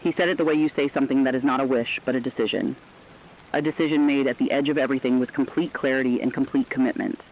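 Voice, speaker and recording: A man playing a weary, compassionate veteran radio journalist, delivering a scripted monologue with solemn, earnest, deliberate phrasing over a dull radio sound. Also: mid-range voice, fairly even intonation, slight background noise, close to an everyday voice. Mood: grave, resolute.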